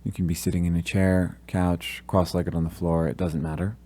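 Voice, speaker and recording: A man leading a guided meditation, giving gentle, calm instructions with long pauses between phrases. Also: sits low.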